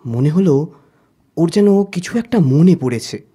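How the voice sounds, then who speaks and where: A man's voice: a little high, lively intonation, measured pace, warm tone, breathy edge. A man narrating an audio story expressively in the first person, as the detective's friend. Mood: somber, suspenseful.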